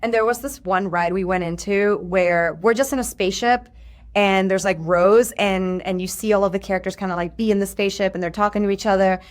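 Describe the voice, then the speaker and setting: Female interview guest, medium register, relaxed conversational delivery suited to informal anecdotes. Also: mid-range voice, brisk. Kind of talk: casual storytelling chat.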